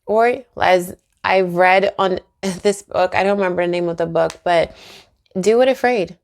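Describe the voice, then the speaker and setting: Woman, vlogger, faith talk, encouraging, low voice, gravelly fry. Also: measured pace. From Trinidad and Tobago. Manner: earnest, encouraging, laughs often. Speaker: YouTuber and author. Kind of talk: faith-based vlog talk to camera.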